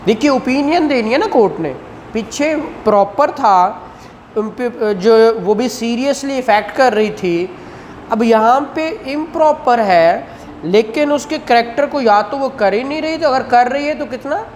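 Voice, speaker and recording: A woman's voice: a little high, lively intonation, measured pace, a little mellow, slight background noise. A woman lecturing as a law teacher, reading out statute phrases and explaining them as she goes. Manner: explanatory, switches between English legal text and Urdu glosses.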